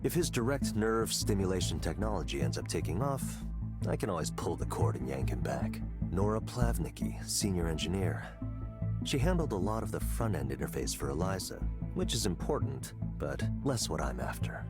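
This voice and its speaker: Casting: calculating male tech boss, game dialogue. Deep register, animated intonation, silky condescension, measured delivery. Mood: condescending, calculating. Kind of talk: visual novel game voice acting. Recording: loud music bed under voice. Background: music.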